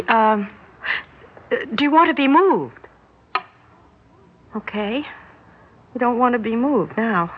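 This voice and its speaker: An actress in a 1960s radio drama playing an affectionate, playful young niece with a chatty, girlish manner. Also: fairly high pitch. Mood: anxious, coaxing. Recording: muffled, hissy 1960s radio recording.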